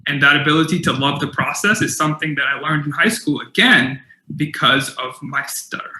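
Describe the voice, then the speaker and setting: Young man, keynote speaker with a stutter, earnest, very bright tone, roomy echo. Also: fairly high pitch, fairly quick. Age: young adult. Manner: earnest, with stutter repetitions and fillers. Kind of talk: motivational keynote talk.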